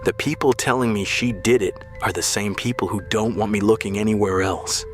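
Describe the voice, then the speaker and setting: A man's voice: on the low side, steady tone, brisk, faint music behind, lightly acted. An actor playing a troubled, principled policeman in an audio drama, speaking in a grave, guarded way. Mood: weary, guarded.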